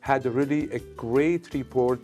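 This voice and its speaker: A woman's voice: a bass voice, a little fast, mellow, soft background music. A woman co-hosting a casual talk show.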